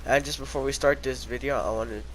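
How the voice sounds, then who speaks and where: A teenage boy talks through a story with a blocked, congested nose from being sick. His delivery is casual and rambling, full of self-quotes, at an ordinary middle pitch. A faint noise floor sits under the recording. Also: steady tone, quick pace, fairly bright tone, poor audio. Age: teens. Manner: rambling, many "I was like" quotes. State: stuffy, congested from a cold. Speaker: vlogger.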